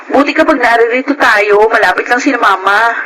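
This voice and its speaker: A woman's voice: on the high side, fairly quick, pushed and bright, low-quality recording. A woman playing a stubborn, whiny and indignant Filipino wife of thirty in a radio drama. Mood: complaining, upset. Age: 30s. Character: stubborn, dependent thirty-year-old wife, quick to bristle.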